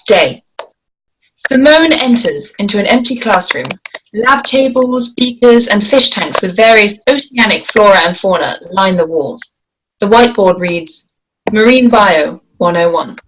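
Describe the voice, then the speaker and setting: A woman reading the stage directions at a script table read in a plain, measured way. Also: mid-range voice, expressive, fairly bright tone, slight background noise, poor audio.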